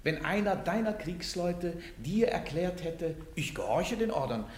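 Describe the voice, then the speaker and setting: An actor playing a stern, fanatical church inquisitor in a radio drama, preaching and declaiming. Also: male voice, a little high, big pitch swings, unhurried, slight background noise. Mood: soft, urging. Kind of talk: classic German radio drama acting. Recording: echoey, roomy radio drama recording.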